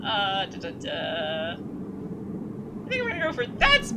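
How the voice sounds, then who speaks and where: A young woman streaming a game, chatty and playful, reacting quickly to the story. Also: medium pitch, wildly swooping pitch, noisy background. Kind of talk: live game commentary. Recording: voice with game music behind.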